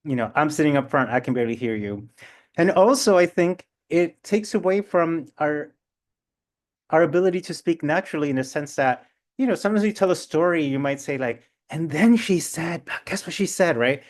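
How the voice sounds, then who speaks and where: A chatty man running a seminar, talking with a slightly high, light voice. He keeps things casual, with playful asides as he reacts to participants. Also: animated, normal speed. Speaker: seminar presenter.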